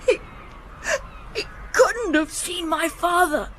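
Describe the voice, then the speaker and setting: A woman acting a young schoolboy in a radio play. The voice is high, guarded and hesitant, lonely and defensive, with noticeable background noise. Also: slow pace, a bit bright, exaggerated voice. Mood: upset. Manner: hesitant, short guarded answers.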